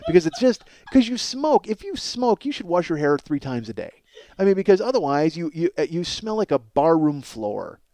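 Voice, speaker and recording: A man in his forties with a slightly high-pitched voice, delivering a loose comedic monologue. He is animated and emphatic, swears freely, and keeps interrupting himself as he riffs in a casual, chatty way. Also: normal speed, a little hiss or hum. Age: 40s. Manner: profane. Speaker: comedy podcast host.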